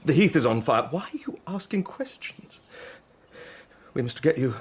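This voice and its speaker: An actor playing a distraught, domineering Edwardian English husband in a period radio drama, agitated, stammering and imperious. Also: male voice, on the high side, very animated, moderate pace, a little hiss or hum.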